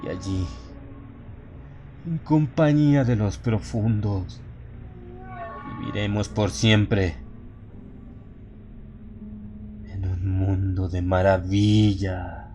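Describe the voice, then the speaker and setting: A man's voice: low voice, slow, long pauses, mellow, faint music behind. A man playing a haunted narrator slipping into exalted, fanatical madness in a solemn, theatrical horror audiobook. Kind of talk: dramatic first-person horror audiobook narration.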